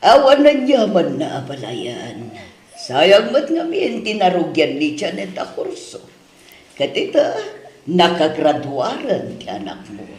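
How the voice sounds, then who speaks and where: A man playing a fussy, nagging older mother-in-law in an Ilocano radio drama, with an Ilocano accent and drawn-out, chiding delivery. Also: fairly high pitch, huge pitch swings, darkish tone. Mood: pleased. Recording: roomy, echoing studio drama sound.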